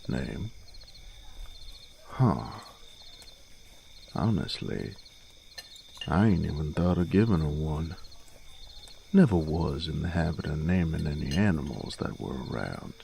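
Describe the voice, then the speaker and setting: A man playing a plain-spoken farm boy turned knight in a fantasy audio drama, gruff but tender, with a folksy rustic drawl. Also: sing-song, takes it slowly, long pauses, mellow, a little hiss or hum, full character voice. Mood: humble, musing. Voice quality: very deep, gravelly.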